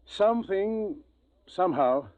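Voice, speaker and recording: An actor playing a blunt, grave spaceship doctor, terse and serious, in 1950s radio drama style. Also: male voice, high voice, animated, slow, a little mellow. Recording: vintage radio, phone-like band.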